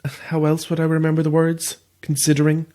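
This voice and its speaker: A man's voice: a little high, completely flat delivery, a little fast, mellow, close to an everyday voice. An Irish man playing a chatty, boastful small-time actor who is very pleased with himself, in a naturalistic audio drama. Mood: chatty, confiding. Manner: boastful, chatty, theatrical asides.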